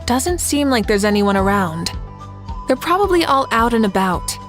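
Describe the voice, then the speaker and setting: A woman playing a dignified, caring female leader in a video game, speaking in a measured, assured way. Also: a little high, big pitch swings. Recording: game music behind the voice.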